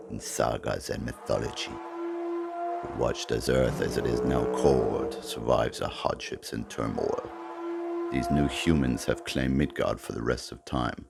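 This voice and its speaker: A man voicing an ancient Norse warrior with a gravelly voice, gravely narrating an epic tale. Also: extremely low pitch, full character voice. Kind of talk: dramatic scripted narration.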